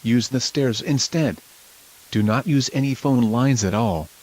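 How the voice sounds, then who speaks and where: A man playing a local TV news anchor in a scripted emergency broadcast, speaking in a formal, measured way. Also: medium pitch, darkish tone, lightly acted, rough recording. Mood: grave, instructive. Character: local TV news anchor reporting a nuclear attack.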